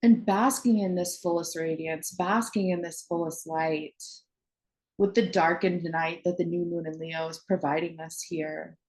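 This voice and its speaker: A woman guides a meditation in a lowish, very mellow voice. Her delivery is very slow and soothing, leaving long pauses between short phrases. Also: fairly even intonation, poor audio.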